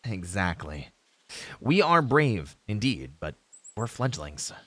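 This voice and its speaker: Novice fantasy hero, played by a man: young, male, slightly low pitch, expressive melody, earnest and idealistic, gallant wording with hesitant asides, audio roleplay. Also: slow pace, dry recording, exaggerated voice. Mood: reasoning, casual, then rousing, reassuring. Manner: formal, heroic storybook phrasing with hesitant asides. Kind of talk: fantasy audio roleplay acting, speaking to a companion.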